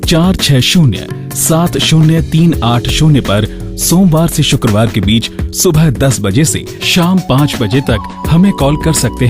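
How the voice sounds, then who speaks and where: A man presenting a radio show in a scripted narration style. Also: medium pitch. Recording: music bed behind the voice. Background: background music with guitar.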